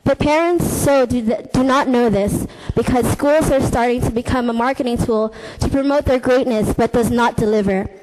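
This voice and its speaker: A woman's voice: fairly high pitch, normal speed, hardly any pauses, noisy background. A young woman, a university student, reading out earnest, critical testimony at a committee hearing through a desk microphone. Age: young adult. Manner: earnest, critical, read-out delivery. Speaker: student deputation speaker. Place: legislative committee meeting room.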